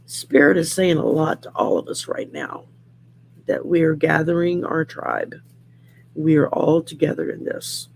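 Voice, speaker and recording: A middle-aged woman with a growly, gravelly voice from throat surgery, chatting casually on a livestream while pulling tarot cards, rambling and restarting often. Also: deep voice, animated, unhurried, a little mellow, a little hiss or hum, poor audio. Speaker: host and tarot card reader. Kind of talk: casual livestream chat with card reading.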